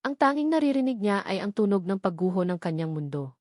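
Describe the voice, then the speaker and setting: A woman's voice: medium pitch, very animated, fairly quick, a little mellow, no room sound. Woman narrating romantic drama, very dry sound. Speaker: story narrator.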